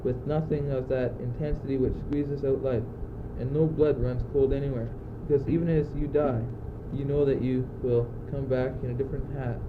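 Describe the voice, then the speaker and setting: A man's voice: mid-range voice, flat delivery, normal speed, hardly any pauses, natural voice. Earnest young courtier played by a teenage boy, muffled recording. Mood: grave, intense. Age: teens. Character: anguished, philosophical young courtier facing death. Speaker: student actor. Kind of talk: amateur school radio play. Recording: muffled, noisy old amateur recording.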